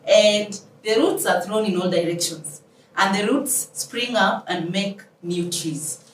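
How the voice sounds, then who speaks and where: A woman's voice: medium pitch, takes it slowly. A woman hosting an interview in a formal, courteous manner. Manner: formal, courteous, speaks in proverbs. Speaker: show host interviewer. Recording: roomy, distant mic, faint noise.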